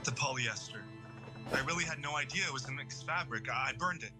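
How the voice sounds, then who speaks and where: A man's voice: mid-range voice, fairly quick, over music, natural voice, poor audio. Man playing a guilt-ridden, devout young man. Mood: ashamed, nervous. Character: guilt-ridden, devout, earnest teenage boy confessing. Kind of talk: audio drama acting.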